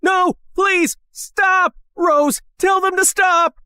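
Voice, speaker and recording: A woman's voice: mid-range voice, very animated, measured pace, dry recording, clean studio sound. A woman playing a protective teenage boy in a dramatized story, an earnest peacemaker who pleads and placates. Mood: desperate.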